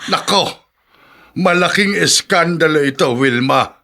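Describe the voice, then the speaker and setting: Bright-voiced, grave old father. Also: fairly high pitch, unhurried. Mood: foreboding, alarmed. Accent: Filipino. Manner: grave, questioning, emphatic. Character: stern, foreboding elderly father and grandfather. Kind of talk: Filipino radio drama acting.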